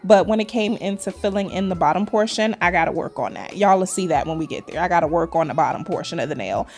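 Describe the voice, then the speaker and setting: A chatty woman in her forties with a Trinidadian accent blended with New York, talking to viewers as she works on nails. She keeps up a loose, friendly flow and calls her audience y'all. Soft music plays faintly behind her. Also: lowish voice, lively intonation, fast. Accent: Trinidadian mixed with New York. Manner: chatty, addresses viewers as cuties, says y'all. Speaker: nail vlogger. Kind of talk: casual chat while doing nails.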